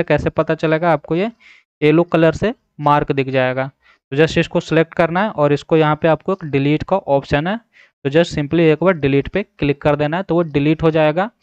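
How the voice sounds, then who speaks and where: A man's voice: on the high side, a little fast, a little mellow, clear, resonant tone, no room sound. A man narrating a step-by-step app tutorial, instructing and often checking in with the listener. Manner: repetitive verbal check-ins, instructional. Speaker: tutorial host. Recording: slightly dull, dry voice-over.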